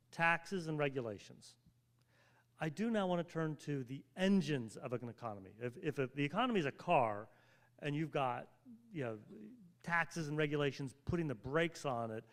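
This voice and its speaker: A man moderating a political panel on a stage, folksy and joking, explaining with homey analogies. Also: a little high.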